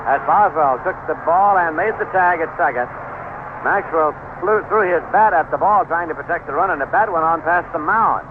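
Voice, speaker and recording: Narrow, noisy old radio baseball announcer, man. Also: fairly high pitch. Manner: measured, descriptive play calling in short phrases. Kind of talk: 1970s radio sports commentary. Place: ballpark broadcast booth.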